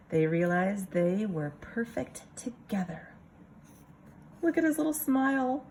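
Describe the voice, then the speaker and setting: A woman reading a picture book aloud like a kindergarten teacher, expressive, pausing for casual asides to the children. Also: medium pitch, a little hiss or hum.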